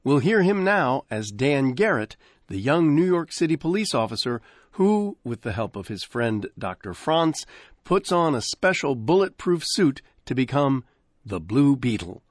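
Brief: male host, mid pitch, animated delivery, dry close mic. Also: measured pace, dry recording. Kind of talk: radio show introduction.

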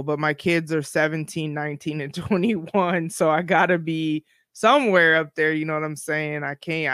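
A woman's voice: low voice, vocal fry, a bit bright, no echo, studio quality. A woman in her forties hosting her own podcast, talking in a casual, rambling, encouraging way. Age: 40s. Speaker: podcast host. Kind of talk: casual solo podcast chat.

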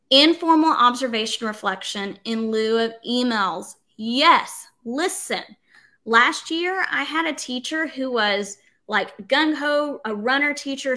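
Woman, fairly high pitch, sharp bright tone, enthusiastic, emphatic, chatty livestream coaching style. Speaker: instructional coach and consultant leading a livestream. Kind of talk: casual livestream tutorial, answering comments.

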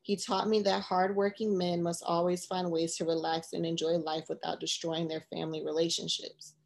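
A woman narrating a memoir as an audiobook, reading in a measured, even way. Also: fairly low pitch, vocal fry.

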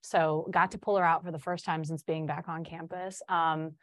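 A woman's voice: low-pitched, flat delivery, normal speed, no room sound, clean studio sound. A woman in her early twenties from Chicago, chatting informally and upbeat as an interview guest. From Chicago, United States. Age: early 20s. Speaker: podcast guest, student teacher in training. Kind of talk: casual interview chat.

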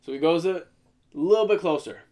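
A young man with a fairly high voice co-hosts a laid-back podcast. He jokes and teases, trips over his words and starts sentences again, and keeps a playful chatty tone. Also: brisk, darkish tone. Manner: joking, stammers and restarts. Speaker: podcast co-host. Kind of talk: casual banter.

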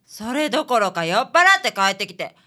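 A woman's voice: on the high side, big pitch swings, a little fast, sharp tone. A woman playing a flustered, feisty young female detective's assistant with a Kansai accent in a radio drama. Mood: indignant, flustered.

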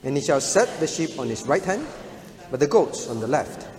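A man's voice: a little high, sing-song, normal speed, darkish tone. A man preaching an expository sermon in short, emphatic phrases, teaching didactically and posing rhetorical questions. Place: large reverberant room, preaching to a congregation. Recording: reverberant hall sound.

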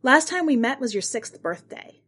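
Woman playing chatty, gossipy small-town woman, very animated. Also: high voice, normal speed, breathy edge, voice pushed up, exaggerated voice. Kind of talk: acted dialogue in a short story reading.

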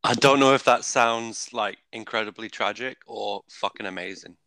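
A man's voice: lowish voice, moderate pace, bright, forceful tone, no room sound. A young man on a podcast, telling laid-back stories in casual chat and swearing now and then.

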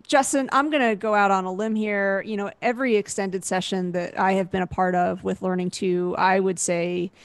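Woman host on a video call, dry close sound, calm encouraging questions. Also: mid-range voice, no room sound. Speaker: interview host. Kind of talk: casual interview talk.

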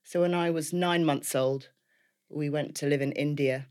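A woman in her fifties with a clear Liverpool accent, talking through her life story as an interview guest. She is chatty and laughs often, with plenty of ums and restarts as she goes. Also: low-pitched, fairly even intonation, normal speed, darkish tone. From Liverpool, England.

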